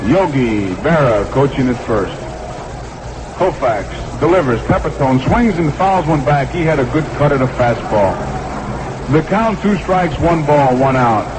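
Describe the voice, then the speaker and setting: A man's voice: mid-range voice, expressive, unhurried. Man calling baseball on noisy vintage radio. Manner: short clipped phrases, repeats the count. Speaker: baseball play-by-play announcer. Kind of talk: 1960s live baseball radio commentary. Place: ballpark broadcast booth.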